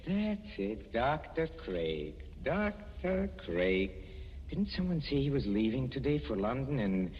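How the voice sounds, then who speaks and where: Creepy sweet-talking jealous husband, halting and sardonic, old radio suspense, hissy and echoey. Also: male voice, medium pitch, very animated. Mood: probing, mock-tender. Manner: silky, sardonic endearments with halting pauses. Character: menacing, mock-tender jealous husband, coolly poisoning his wife. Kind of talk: 1940s radio suspense acting. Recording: dull, noisy, echoey 1940s radio recording.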